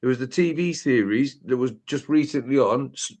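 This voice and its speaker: A man's voice: mid-range voice, normal speed, darkish tone. A man chatting casually about pop culture, insistent and sweary, restating himself to make his point.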